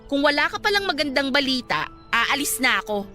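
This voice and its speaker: A woman's voice: sits high, big pitch swings, brisk, pushed and bright, exaggerated voice. A woman playing a smug, cold-hearted young wife and scornful rival in a Filipino radio drama, dismissive and taunting, with a Filipino accent. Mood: dismissive, impatient. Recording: dramatic music bed behind the voice.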